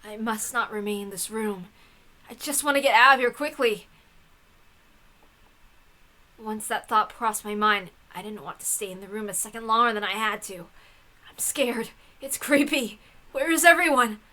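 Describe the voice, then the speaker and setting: A woman giving a dramatic, theatrical narrated reading of a story in an expressive manner. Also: fairly high pitch, normal speed. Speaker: reader of a visual novel.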